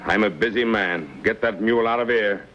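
An actor playing a curt, unfriendly storekeeper in an old western, brusque and dismissive. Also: male voice, lowish voice, brisk, fairly bright tone, close to an everyday voice. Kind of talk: 1950s western screen acting. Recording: dull, faintly noisy old soundtrack.

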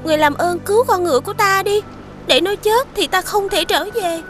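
A woman voicing a sweet, earnest young princess in an animated fairy tale, childlike and polite. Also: very high voice, brisk, over music. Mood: worried.

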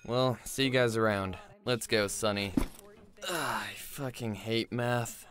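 A man's voice: mid-range voice, measured pace, fairly bright tone, lightly acted. A man playing a blunt, irritable young male student in an audio drama. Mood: casual. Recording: noisy track with clicks.

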